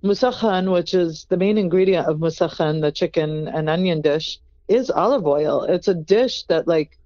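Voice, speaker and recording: Smooth, earnest chef in an interview. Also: mid-range voice, normal speed, dark tone, muffled recording. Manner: earnest, thoughtful, frequent "you know" fillers. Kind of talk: reflective interview answer.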